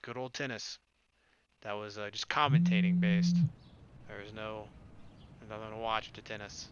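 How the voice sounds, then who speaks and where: A man's voice: fairly low pitch, lively intonation, normal speed, muffled recording. A man reading visual novel text aloud as a let's play host, adding slangy, irreverent casual commentary.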